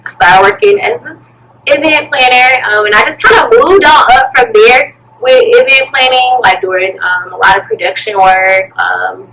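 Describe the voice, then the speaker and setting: A woman, chatting casually as an interview guest and telling her story in an animated way. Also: fairly high pitch, moderate pace. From Birmingham, Alabama, USA. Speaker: interview guest, pole studio owner and event planner. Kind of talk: casual chat. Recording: slightly distant mic, noisy room ambience.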